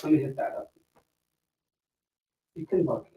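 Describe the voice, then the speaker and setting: A man's voice: a little slow, dark tone. A man in a cigar lounge, chatting and swearing casually while setting up the show. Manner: casual, curse-prone, bantering. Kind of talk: casual chat. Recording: echoey room, off-mic.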